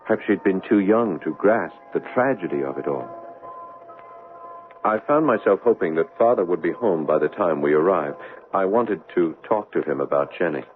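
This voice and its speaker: An actor playing an earnest, haunted young doctor in a 1970s radio drama, wistful and deliberate. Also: male voice, deep voice, expressive. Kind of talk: 1970s radio drama acting, intimate narration and dialogue. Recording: muffled old 1970s radio broadcast.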